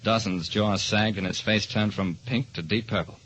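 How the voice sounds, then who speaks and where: A man's voice: on the low side, fairly even intonation, normal speed, fairly bright tone, slight background noise, lightly acted. An actor, a man, playing a hard-boiled private detective who narrates his case in a clipped, dramatic old-radio style. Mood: brisk, sardonic. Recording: dull old-time radio recording.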